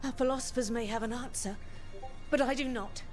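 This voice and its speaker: An actress plays a female dwarf survivor in a fantasy video game, with a high-pitched voice. She sounds wary and shaken yet grateful, and faint music plays behind her. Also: fairly even intonation, fairly quick, close to an everyday voice. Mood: grieving, uneasy.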